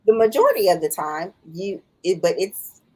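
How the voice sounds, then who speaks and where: A woman co-hosting a podcast, talking in a thoughtful, halting way with many restarts. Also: fairly low pitch, expressive. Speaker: podcast co-host. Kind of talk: casual chat.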